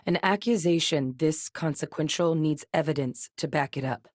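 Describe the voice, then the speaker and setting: An actress voicing a seasoned, determined female military xenobiologist in a video game, speaking in a measured, earnest, matter-of-fact way. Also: low-pitched, no echo, clean studio sound. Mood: grave, focused. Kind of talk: scripted game dialogue.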